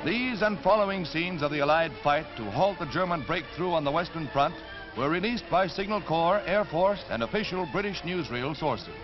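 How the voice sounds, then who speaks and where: A man's voice: on the high side, lively intonation, moderate pace, music in the background. An American man reading scripted 1940s newsreel narration in a dramatic, formal announcer style. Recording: dull 1945 newsreel film soundtrack.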